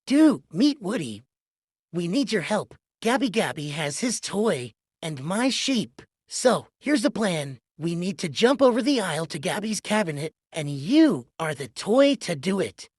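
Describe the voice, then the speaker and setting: A commanding toy shepherdess from a children's storybook, as a woman reader plays her. She gives brisk directions with plenty of swing in her pitch. Music plays clearly behind her. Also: sing-song, a little mellow, no echo, clean studio sound, exaggerated voice. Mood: urgent, rallying. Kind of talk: children's storybook read-aloud.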